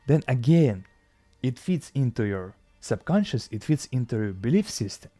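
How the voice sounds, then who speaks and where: A man's voice: mid-range voice, big pitch swings, moderate pace, mellow, no echo, soft background music. A young man with a Russian accent giving an earnest motivational talk to camera, with slightly non-native phrasing. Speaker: self-improvement YouTuber.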